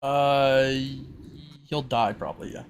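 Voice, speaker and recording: A man's voice: mid-range voice, slow, vocal fry, a little hiss or hum. A man running a tabletop roleplay as game master, explaining rules and backstory in a loose, informal way. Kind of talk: casual improvised tabletop game narration.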